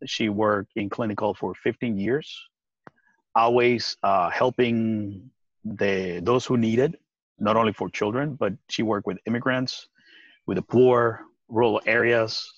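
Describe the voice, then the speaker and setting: Man, 40s, from Puerto Rico, low voice, earnest and halting, dry lo-fi webcam sound. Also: steady tone, dry recording. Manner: earnest, halting, many restarts, laughs often. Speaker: guest. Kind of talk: casual video-call interview. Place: outdoors on a deck by trees. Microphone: video call webcam.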